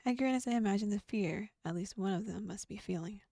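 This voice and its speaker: A woman streamer reading a visual novel aloud in first person, breaking off into animated, exclaiming reactions. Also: mid-range voice, fast, no echo, clean studio sound. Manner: animated reactions, gasps and laughs between read passages. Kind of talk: game playthrough, reading text plus reactions.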